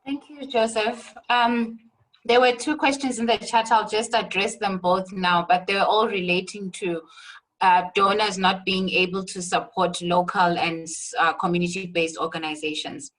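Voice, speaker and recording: A woman's voice: mid-range voice, fairly even intonation, normal speed, fairly bright tone, poor audio. A woman speaking on a panel, answering questions in a direct, matter-of-fact way.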